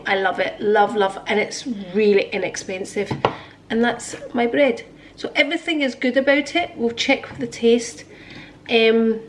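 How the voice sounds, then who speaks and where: A woman's voice: a little high, roomy sound, slight background noise. A woman in her sixties chatting cheerfully to camera in her home kitchen, vlogging about her day. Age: 60s. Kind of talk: casual chat to camera.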